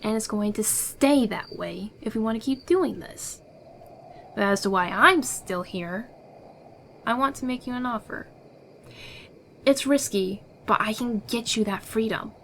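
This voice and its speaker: A woman's voice: fairly high pitch, lively intonation, unhurried, vocal fry, fairly bright tone, a little hiss or hum, heavily acted. A woman playing a confident, secretive smuggler in a fantasy ASMR roleplay, with an assured, persuasive, theatrical delivery. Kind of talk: ASMR audio roleplay acting.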